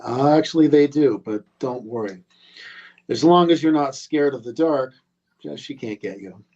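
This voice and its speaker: A man's voice: medium pitch, normal speed, darkish tone, natural voice. Man reading as casual young campfire storyteller, slightly muffled. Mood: teasing, grave. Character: young camp counselor casually spinning a murder legend. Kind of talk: live table read of a horror script.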